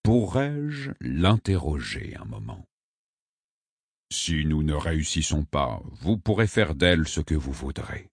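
Soft-spoken senior cardinal played by a male reader, deep, husky murmur, deferential and measured. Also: lively intonation, no echo, studio quality, heavily acted. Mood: respectful. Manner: hushed, gentle, respectful murmur. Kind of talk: audiobook character acting.

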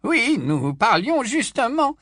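Brief: stiff old wizard, voiced by a man, wheezy and measured in a dry booth. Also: very high voice, sing-song, sharp tone, no room sound, voice pushed up, heavily acted. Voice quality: measured, wheezy, cold and formal. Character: stiff, solemn old wizard, loyal and offended.

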